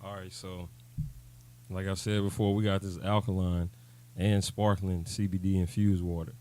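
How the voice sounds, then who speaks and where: A man's voice: deep voice, flat delivery, normal speed, mellow. An American man co-hosting a podcast, explaining things in a laid-back, slangy, casual way. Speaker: podcast co-host.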